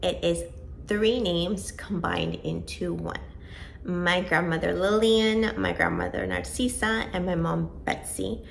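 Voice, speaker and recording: A woman's voice: lowish voice, lively intonation, unhurried, gravelly, slight background noise. A woman about to turn forty, born in Venezuela and raised in Georgia, chatting casually to camera for a home vlog in a rambling, self-deprecating way. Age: 30s. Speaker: vlogger.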